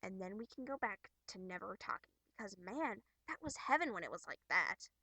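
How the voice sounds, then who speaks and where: A woman's voice: medium pitch, animated, brisk, sharp tone, no echo, low-quality recording. A snide young troll girl, as acted by a woman in an amateur webcomic performance. She drawls her sarcasm with haughty exasperation and open mockery. The recording is dry, with no room sound. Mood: contemptuous. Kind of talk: amateur character voice acting from a webcomic.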